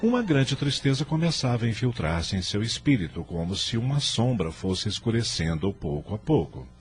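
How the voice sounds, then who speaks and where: Deep, expressive Brazilian man narrating. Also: normal speed. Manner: solemn, literary. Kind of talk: scripted dramatic radio serial narration. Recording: music bed under narration.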